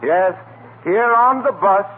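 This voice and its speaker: A man's voice: high voice, animated, slow. An American man working as a 1940s radio announcer, reading scripted narration in a florid, theatrical way. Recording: scratchy, tinny 1940s radio broadcast.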